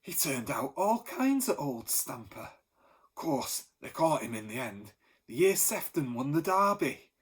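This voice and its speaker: A man's voice: medium pitch, big pitch swings, a little slow, slightly rough. A man voicing a thin, wheezing old man who gossips knowingly, in a storybook reading.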